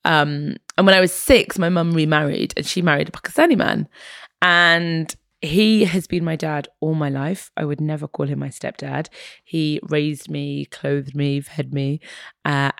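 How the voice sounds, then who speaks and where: A woman's voice: deep voice, a little slow, creaky, no echo, clean studio sound. A young woman from Egypt, raised in northern England, talking casually as a podcast guest, chatty and full of "like" and "right?". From Egypt, raised in Newcastle upon Tyne. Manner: chatty, animated, fills with "like" and "right?". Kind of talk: casual conversation.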